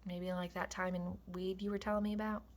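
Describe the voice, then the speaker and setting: A woman reading the lines of a calm, quiet ranch leader, measured and soft. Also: on the high side, voice pushed up.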